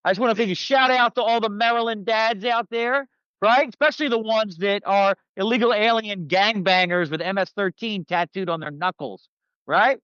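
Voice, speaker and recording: A man's voice: high-pitched, moderate pace, a bit bright, clear, resonant tone, no echo. An American man from Philadelphia talking casually as a guest, brash, sarcastic and salty, on a slightly dull microphone. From Philadelphia, United States. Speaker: guest, publicist and commentator. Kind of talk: casual talk, political commentary.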